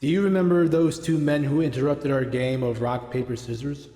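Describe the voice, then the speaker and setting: A man playing an earnest martial artist in an audio drama, speaking in a measured, encouraging way. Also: medium pitch, fairly even intonation, dark tone, close to an everyday voice. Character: earnest male martial artist urging a friend. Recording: echoey, reverberant room.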